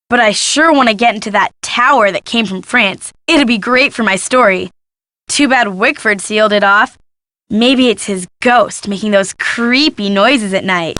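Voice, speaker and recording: A woman's voice: a little high, bright, forceful tone, no echo. A woman playing a gossipy, snarky young female photojournalist in a video game, with sardonic, dramatic emphasis. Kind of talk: video game character dialogue.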